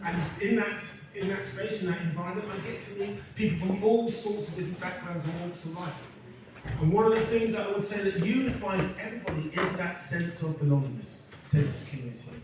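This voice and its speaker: A man with a high voice gives an off-the-cuff speech at a community gathering, pausing often with fillers and restarting his sentences. He is picked up from far off in a big echoey hall. Steady background noise runs under his voice. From England. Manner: unscripted, hesitant with many fillers and restarts. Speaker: council vice chair and lecturer giving a speech. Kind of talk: informal speech at a community event. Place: large echoey event hall.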